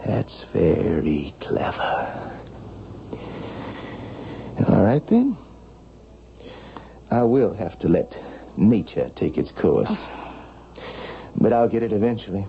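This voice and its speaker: An actor playing a scheming, menacing fortune hunter in a radio drama, speaking in a measured, sardonic way. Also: male voice, lowish voice, very animated, creaky, heavily acted. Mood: cool menace. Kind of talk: 1970s radio drama acting. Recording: dull vintage radio recording, faint noise.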